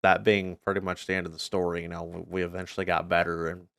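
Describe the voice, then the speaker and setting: A man's voice: sits low, flat delivery, quick pace, gravelly, a bit bright, no echo, clean studio sound. A North American man giving a casual channel update as a gaming YouTuber, talking informally and earnestly in a rambling way.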